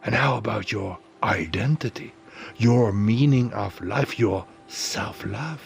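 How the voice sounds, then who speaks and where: A man narrating a guided meditation in a soothing, deliberate way, leaving pauses between phrases. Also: fairly low pitch, creaky, faint music behind.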